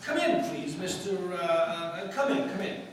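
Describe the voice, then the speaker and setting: Man playing a high-voiced pompous publisher, echoey hall. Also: very animated, measured pace. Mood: bossy. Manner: self-important, commanding, blustery. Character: pompous, bossy male magazine publisher. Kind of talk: old-time radio drama acting. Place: live stage performance. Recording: echoey hall, distant mic, noisy.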